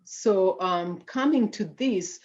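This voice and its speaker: A woman's voice: medium pitch, slow pace, darkish tone, dull audio. A woman with a Ugandan accent presenting slides on a video call, hesitant, with many fillers and restarts. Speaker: nonprofit founder presenting slides.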